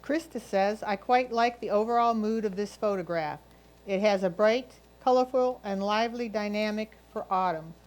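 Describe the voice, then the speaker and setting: A woman reads prepared photo critiques aloud in the role of a competition reader. Her voice sits at a middle pitch for a woman. The delivery is that of someone voicing another person's written notes. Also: moderate pace, darkish tone, poor audio. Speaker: reader of judges' comments.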